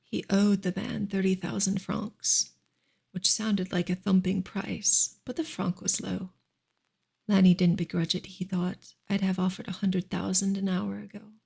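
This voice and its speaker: A woman reading a novel softly in ASMR style, gentle and unhurried, often slipping into a whisper. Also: mid-range voice, level tone. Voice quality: soft, breathy, often dropping into a whisper. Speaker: ASMR reader and streamer.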